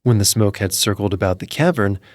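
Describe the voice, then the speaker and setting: Low, expressive male storyteller. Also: fairly quick, dry recording. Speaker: narrator. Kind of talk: scripted fairy-tale narration.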